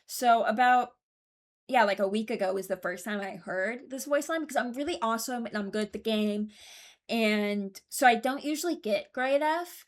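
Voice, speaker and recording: A young woman hosting a video with casual, informal commentary on game voice lines, rambling and often interrupting herself. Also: a little high. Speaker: streamer and video host.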